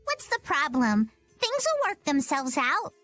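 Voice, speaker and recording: Bright, high-voiced pirate girl. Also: huge pitch swings, measured pace, exaggerated voice. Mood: breezy. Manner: pirate-style exclamations, bold. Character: spirited young pirate girl, cocky and carefree. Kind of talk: video game voice acting. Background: background music.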